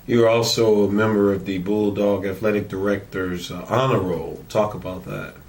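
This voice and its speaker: A man hosting an interview, asking questions in a halting way with many ums and uhs. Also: on the low side, fairly even intonation, a little mellow. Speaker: interviewer, show host.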